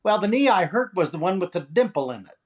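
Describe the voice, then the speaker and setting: A man's voice: sits high, sing-song, quick pace. A man playing a vain, self-pitying comedian who wisecracks in a plaintive, wry way, in 1940s radio comedy style. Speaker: actor in a radio comedy recreation.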